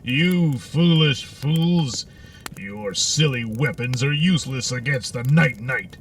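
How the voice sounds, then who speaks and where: A man's voice: mid-range voice, takes it slowly. A man playing a pompous, hapless armored superhero in a comic audio serial, boastful and melodramatic, easily exasperated, recorded distantly with noise behind him. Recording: distant, roomy sound with background noise.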